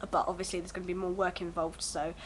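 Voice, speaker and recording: A woman's voice: lowish voice, flat delivery, fast, a little hiss or hum. A young woman in her early twenties, bunged up with a cold, chatting at home to her vlog camera in a rambling, hesitant way full of fillers. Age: early 20s. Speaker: vlogger. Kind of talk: casual chat to camera.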